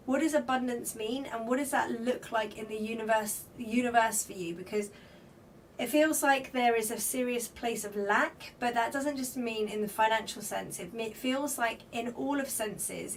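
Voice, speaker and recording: A woman with a slightly croaky voice, reading spirit cards on a livestream and chatting with viewers by name, giving flowing spiritual guidance. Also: a little high. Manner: reads comments aloud and greets viewers by name. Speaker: tarot card reader on a livestream. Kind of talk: live card-reading stream, chatting with viewers. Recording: slightly distant mic with faint background noise.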